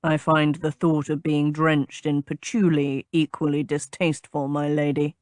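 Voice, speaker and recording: A woman lowers her voice to play a stern captain with a deep, clipped delivery. He sounds formal and unmoved. The dry, close reading leaves no room around him. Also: level tone, measured pace, darkish tone, no echo.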